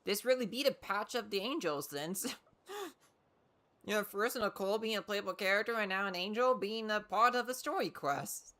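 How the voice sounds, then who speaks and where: Bright-voiced woman streaming a game. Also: medium pitch, moderate pace. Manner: thinking aloud, hesitant. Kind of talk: casual livestream game commentary.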